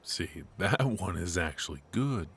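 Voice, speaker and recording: A man playing a suave, teasing, flirtatious mafia boss in an intimate ASMR roleplay, murmuring asides with hums and pauses. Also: low voice, animated, fairly bright tone, slightly rough, exaggerated voice. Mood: teasing, mock-aggrieved. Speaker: voice actor in an audio roleplay. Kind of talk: ASMR roleplay acting, intimate one-sided conversation.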